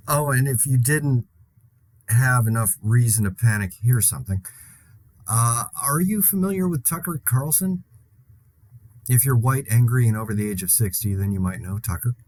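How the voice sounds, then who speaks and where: A man's voice: mid-range voice, lively intonation, unhurried, pauses often, darkish tone. A man playing an anxious, wry survivor who broadcasts a rambling, sardonic, conversational monologue in an audio drama. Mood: sarcastic, indignant. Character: anxious, wry man broadcasting alone during zombie apocalypse. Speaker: podcast host in a zombie-outbreak audio drama.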